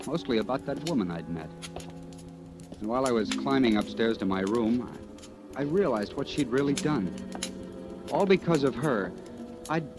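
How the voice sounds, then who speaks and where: A man's voice: medium pitch, lively intonation, moderate pace, pauses often, over music, natural voice. An actor playing a nervous, down-on-his-luck man in a 1940s radio drama, speaking hesitantly with stammers. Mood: reflective narrating. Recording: noisy old 1940s radio recording.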